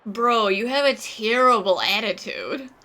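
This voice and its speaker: A woman's voice: fairly high pitch, measured pace, pushed and bright. A woman playing a sassy, easygoing young woman in a video game, with a frank, slangy, teasing manner. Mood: wry, unimpressed.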